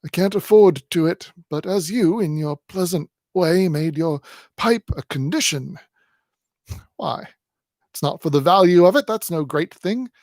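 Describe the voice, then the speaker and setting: A male reader voicing a spiteful, fawning miserly old man, shrill, cracked and out of breath. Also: expressive, measured pace, a little mellow, no room sound, studio quality.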